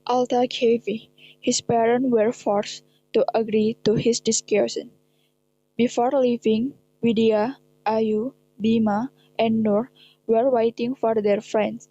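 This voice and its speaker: A woman's voice: fairly high pitch, level tone, slow, mellow, highs cut off. A young woman with an Indonesian accent reading scripted narration for a radio drama. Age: young adult. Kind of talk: scripted narration, read aloud.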